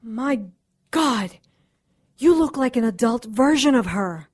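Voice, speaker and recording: High-voiced, determined occultist. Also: female voice, animated, takes it slowly, darkish tone. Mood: surprised, brusque. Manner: resolute, commanding. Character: determined, vengeful female occultist, intense and defiant. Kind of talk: audio drama acting.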